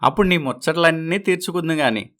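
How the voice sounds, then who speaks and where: A man playing a gentle village father in a moral story, speaking in a reassuring and wistful way. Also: on the high side, fast. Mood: consoling.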